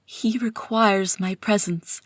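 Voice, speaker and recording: A woman playing a fanatical, devout servant of a psionic master, telling the tale with reverent, deliberate intensity in a dramatic first-person story. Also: medium pitch, fairly even intonation, dry recording, lightly acted. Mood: cold, reverent. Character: fanatical mind-controlled female psionic servant, devoutly worshipping her master.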